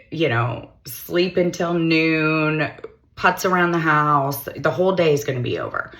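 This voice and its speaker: Woman, middle-aged, low-pitched, slightly hoarse, direct coaching tone. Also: a bit bright. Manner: direct, coaching, matter-of-fact. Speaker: recruiter. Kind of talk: advice monologue to camera.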